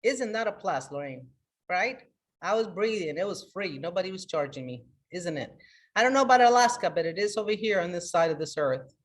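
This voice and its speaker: Energetic woman coach hosting a live talk. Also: lowish voice, a little fast. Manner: energetic and emphatic, with many restarts. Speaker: life coach and trainer. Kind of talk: live motivational talk to an online group.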